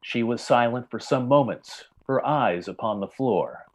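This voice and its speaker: A man narrating a story in old-time radio style, with formal, measured literary delivery. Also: mid-range voice.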